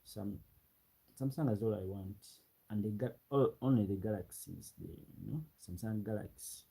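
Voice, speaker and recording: A man's voice: fairly low pitch, moderate pace, gravelly, breathy edge. A young man from Uganda, chatting loosely on a late-night livestream, often repeating himself. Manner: repeats phrases, relaxed and rambling. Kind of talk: late-night livestream chat, reading comments.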